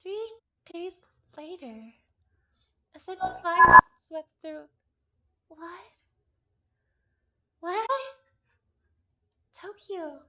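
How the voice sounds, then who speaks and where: A woman livestreaming a visual novel, chatting casually and reading game text aloud in an excitable, halting way, often repeating phrases. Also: extremely high pitch. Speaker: streamer playing a visual novel. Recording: dull lo-fi stream audio.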